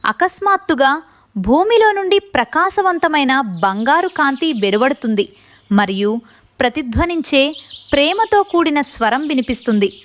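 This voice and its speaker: A woman's voice: high-pitched, expressive, normal speed. A woman narrating a scripted children's moral story.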